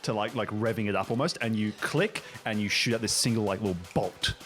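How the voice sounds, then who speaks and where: A man narrating an improvised tabletop game as game master, piecing descriptions together haltingly. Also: lowish voice, fairly bright tone. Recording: music playing under the voice.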